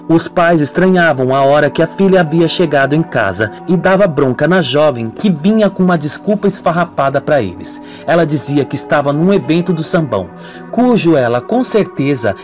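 A man's voice: fairly high pitch, lively intonation, moderate pace. Man narrating dramatically over music. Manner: dramatic storytelling. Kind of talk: scripted radio soap opera narration.

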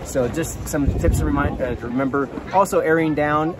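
A man's voice: on the high side, brisk, crowd noise, poor audio. A man in his thirties giving an eager, hands-on product demonstration at an outdoor expo booth, recorded on a camera mic a few feet away. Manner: enthusiastic, explanatory, hands-on demonstrating. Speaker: product representative.

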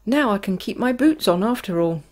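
A woman's voice: medium pitch, lively intonation, moderate pace, a little mellow, close to an everyday voice. A woman voicing a cheery, boastful centipede in a storybook reading, with a jaunty, announcing manner.

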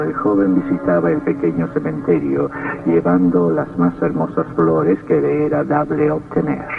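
An actor playing a sinister, darkly gloating count who narrates an old radio horror drama in a theatrical, macabre manner. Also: male voice, lowish voice, gravelly, heavily acted. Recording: tinny, distant old radio recording.

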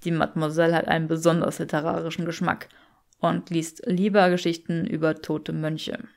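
A woman's voice: low-pitched, little pitch movement, normal speed, vocal fry, pitched below the performer's own voice. A woman voicing a haughty young aristocratic man in an audiobook, teasing in a dry, mock-dramatic way.

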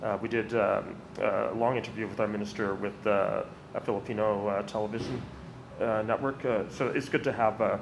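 A seated panelist in his thirties with a somewhat low voice, who speaks deliberately with frequent "uh" pauses. He is recorded at a table mic in a university lecture room, and a clear hiss hangs behind him. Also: steady tone. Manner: deliberate, full of uh fillers. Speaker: panelist, ministry issues lead. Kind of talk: panel Q&A answer. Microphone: table microphone, seated.

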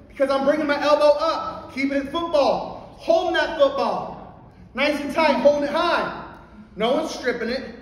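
A man in his thirties teaching a wrestling clinic from a stage in a big hall, brash and energetic, firing rhetorical questions and repeating cues while demonstrating moves. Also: extremely high pitch, slight background noise. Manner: moving and grappling while talking. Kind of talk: live coaching demonstration. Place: stage in a large indoor convention hall. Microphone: far camera across the room. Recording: very echoey hall, voice from afar.

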